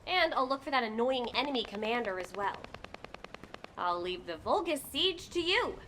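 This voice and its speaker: A woman's voice: fairly high pitch, expressive, normal speed, a bit bright, slight background noise. A woman playing a chatty, eager young scout in a video game. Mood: breezy.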